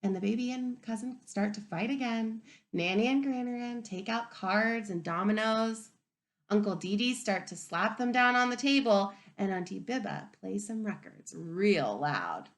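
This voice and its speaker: A woman reading a picture book aloud to young children as a teacher, expressively, pausing to wonder aloud and ask them questions. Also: medium pitch. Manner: expressive, wondering aloud, inviting listeners to reflect.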